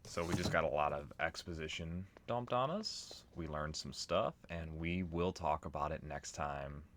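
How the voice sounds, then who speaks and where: A man's voice: a bass voice, normal speed, noisy background. A man hosting a let's play, giving casual video game commentary.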